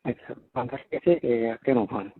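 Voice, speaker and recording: A man's voice: moderate pace, husky, no echo. A man with a Rajasthani Marwari accent chatting casually on the phone, often repeating his phrases. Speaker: tailor shop owner. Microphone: telephone. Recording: muffled low-fidelity phone call recording.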